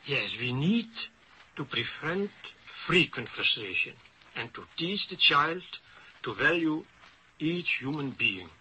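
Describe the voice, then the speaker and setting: A Dutch-accented man gives formal, careful remarks as an academic panelist. He speaks slowly and haltingly, with a very sharp, bright tone. The old radio recording is slightly noisy. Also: fairly high pitch, very animated. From Netherlands. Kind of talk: formal prepared symposium remarks.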